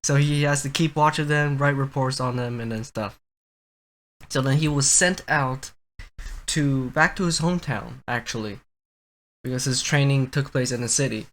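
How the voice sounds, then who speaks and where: A young man hosting a casual podcast, telling stories in a rambling, halting way. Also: mid-range voice, fairly bright tone. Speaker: podcast host.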